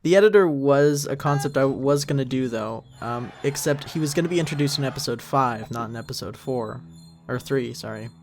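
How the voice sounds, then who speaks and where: Male creator for commentary track; medium pitch, informal, rambling, self-deprecating. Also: brisk, gravelly, soft background music. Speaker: series creator.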